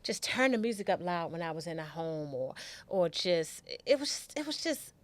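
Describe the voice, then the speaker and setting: A woman's voice: fairly low pitch, very animated, normal speed. A middle-aged American woman telling a personal story as a podcast guest, chatting casually, acting out conversations and repeating phrases for emphasis.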